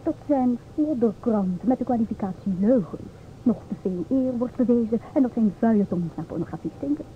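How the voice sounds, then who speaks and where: An actress plays a sharp-tongued, assertive woman in a vintage radio drama. Her voice sits fairly high and her delivery is mocking and theatrical. The old recording is thin, with faint noise. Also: lively intonation, brisk, lightly acted. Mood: indignant. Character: outspoken, sharp-tongued divorced woman lecturing two inspectors. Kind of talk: Dutch radio play acting. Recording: old radio, thin phone-like sound, noisy.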